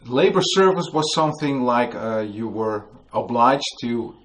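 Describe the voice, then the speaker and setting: A man from the Netherlands with a Dutch accent, telling a story to an audience at a book talk and often pausing to search for English words. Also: medium pitch, expressive, fairly bright tone. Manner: hesitant, searching for English words, many fillers. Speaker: author giving a book talk. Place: museum hall with a live audience.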